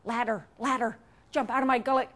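Woman voicing a high, loud, plucky quacking duck. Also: fairly even intonation, brisk, lightly acted. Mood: panicked pleading. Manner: exclamatory, repetitive quacking and chanting. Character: plucky duck, insistent, quacking, panics easily.

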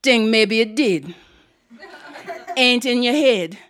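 A woman storyteller acts out a towering, regal old-school aunt. She is stern and blunt, commanding in a deep voice, with a teasing edge. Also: slow pace, fairly bright tone, clean tone.

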